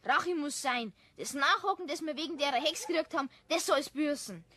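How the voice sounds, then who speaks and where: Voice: high voice, normal speed, pushed and bright, no room sound. A young performer playing a cheeky, defiant boy prankster with a Bavarian accent in a children's audio drama. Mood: bold, goading.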